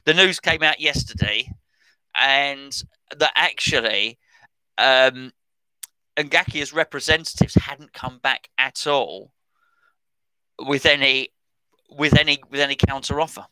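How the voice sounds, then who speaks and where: A man's voice: mid-range voice, takes it slowly, long pauses, bright, forceful tone, clean tone, no room sound, rough recording. A middle-aged man, rambling and correcting himself as he chats about football transfer news as a podcast host. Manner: chatty, rambling, self-correcting. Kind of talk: casual commentary on transfer news.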